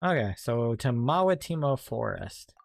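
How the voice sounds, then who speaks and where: A man's voice: mid-range voice, big pitch swings, measured pace, vocal fry. A man streaming a game with casual playthrough commentary, muttering wry asides to himself.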